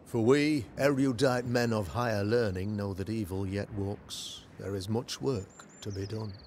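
An actor playing a pompous, pious, middle-aged preacher in a video game, with florid, oratorical delivery. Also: male voice, on the low side, steady tone, measured pace, darkish tone, a little hiss or hum, close to an everyday voice. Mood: grave, urgent. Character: pompous, pious preacher, learned man of the cloth. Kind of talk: theatrical video game acting, sermonizing.

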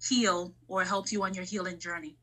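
A woman's voice: on the low side, steady tone, moderate pace, a bit bright. A woman hosting a casual, reflective interview, talking things through and asking questions. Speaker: podcast host interviewing a guest.

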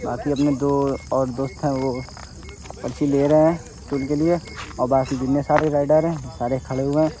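A young man casually vlogging to his viewers at a motorbike ride meetup, talking excitedly and calling them guys. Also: medium pitch, normal speed, rough recording. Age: young adult. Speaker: motovlogger. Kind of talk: casual vlog narration to viewers. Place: outdoors by a highway with motorbikes. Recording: outdoor traffic and insect noise.

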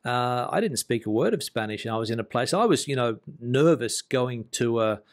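A man's voice: medium pitch, expressive, normal speed. A man in his fifties hosting a casual chat from his home office, rambling easily with plenty of "I mean" and "you know". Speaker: podcast host. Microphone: boom mic on an arm.

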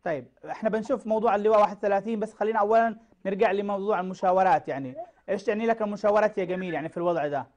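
A man in his thirties hosting a TV call-in show from a studio, quick and friendly, with short acknowledgements to callers. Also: high-pitched, a little mellow, lo-fi sound. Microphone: lapel mic.

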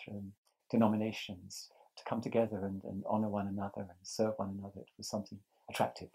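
Man, 60s, from England, low flat voice, gravelly, thoughtful and halting, outdoor interview. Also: rough recording. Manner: thoughtful, halting, with many restarts. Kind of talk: reflective interview answers. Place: outdoors on a terrace by a lake.

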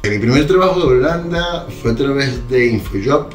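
A man's voice: medium pitch, normal speed. A man in his thirties with a Venezuelan Spanish accent, telling his story casually in an interview, with hesitations and repeated words. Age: 30s. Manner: relaxed, repeats words for emphasis, frequent hesitations. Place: bright room indoors, seated on sofa. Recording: roomy sound with music under it.

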